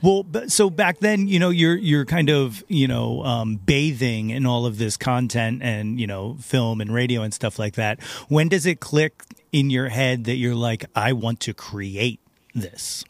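Man, medium pitch, podcast host, casual interviewer, conversational delivery. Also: unhurried, no room sound.

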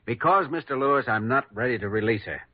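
An American actor playing a patient, quietly firm young hospital doctor in classic radio style, measured and sometimes hesitant. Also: male voice, medium pitch, expressive, no echo, close to an everyday voice. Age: young adult. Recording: muffled old radio recording.

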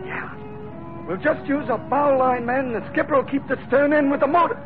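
An actor playing a loyal, easygoing first mate on a ship in a 1940s radio adventure. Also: male voice, very high voice, moderate pace. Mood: businesslike. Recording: thin phone-like old radio, loud music.

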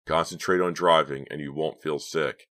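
A man's voice: extremely low pitch, fairly even intonation, moderate pace, pushed and bright, dry recording. A man voicing a tense, impatient young male robbery ringleader in an audiobook story, curt, dry and commanding. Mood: annoyed, curt.

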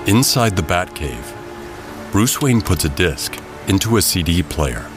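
Deep-voiced male dramatic narrator. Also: sing-song, a little slow. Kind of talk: scripted dramatic story narration. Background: music.

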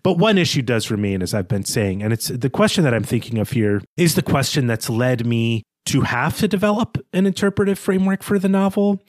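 A man's voice: medium pitch, animated, fairly quick, hardly any pauses, no echo. A man co-hosting a literary podcast, talking through a prepared argument in a discursive, bookish and self-deprecating way. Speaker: podcast co-host presenting his reading of a novel.

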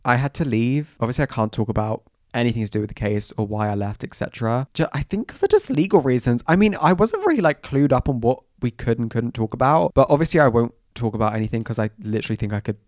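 A young man, bunged up and croaky from a cold, telling stories in a dramatic, self-mocking way as a podcast host. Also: medium pitch, animated, fast talker, hardly any pauses, darkish tone, dry recording, clean studio sound. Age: 20s. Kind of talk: casual chatty storytelling.

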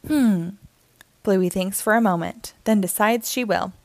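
A woman reading a children's story aloud in an expressive, deliberate narrating style. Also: medium pitch, no echo. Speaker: storybook reader.

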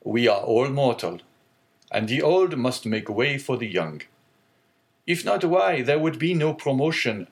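A man's voice: mid-range voice, expressive, measured pace, voice pushed up. A man voicing a middle-aged ship owner who questions eagerly and speaks pragmatically, in an audiobook reading. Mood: consoling. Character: middle-aged male ship owner, anxious then consoling.

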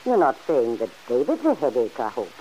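An actress playing a kindly, principled older aunt who chides gently and earnestly in an old-time radio serial. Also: female voice, a bass voice, wildly swooping pitch, brisk, lo-fi sound, heavily acted. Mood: gently reproachful. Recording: hissy old radio recording.

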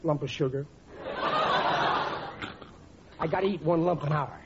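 An actor playing an excitable, boastful, dim-witted middle-aged working-class husband in a 1940s radio sitcom, blustering in ungrammatical speech. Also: male voice, on the high side, fast, natural voice. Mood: boastful, pleased. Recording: muffled, noisy old 1940s radio recording.

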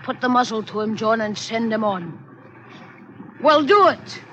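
An actor in an old-time radio drama playing a murderous mutinous sailor, coaxing, taunting and giving orders. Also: male voice, high-pitched, animated, unhurried, pushed and bright, lo-fi sound, heavily acted. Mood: sly coaxing. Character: murderous, goading mutinous sailor, sly and scornful. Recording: noisy vintage radio recording.

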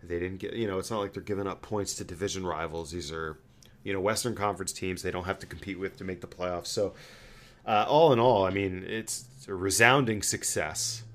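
A man with a low voice hosts a casual sports fan podcast. He tells stories in an animated way, often doubling back on his words as he goes. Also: a little fast. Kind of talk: casual hockey fan chat.

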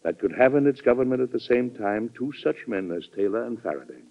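An actor playing a measured, conciliatory president in an old radio drama, on a tinny vintage recording. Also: male voice, medium pitch, animated, over loud music, lightly acted. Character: calm, conciliatory male president. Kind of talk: 1940s radio drama acting.

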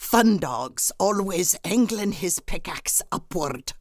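A woman's voice: on the low side, lively intonation, slow pace, no echo, clean studio sound. A woman voicing a blunt, mocking dwarf miner who taunts in colourful insults. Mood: derisive.

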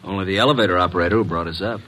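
A man playing a brisk, wisecracking detective's assistant, loyal and matter-of-fact, in an old radio drama. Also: low-pitched, a bit bright. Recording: noisy old radio recording with clicks.

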